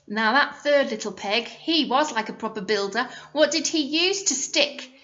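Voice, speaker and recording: A woman reads a storybook to young children like a teacher, with a bright, very animated delivery. She prompts with simple questions and explanations, in an echoey room. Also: high-pitched, moderate pace. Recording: echoey room, slightly muffled.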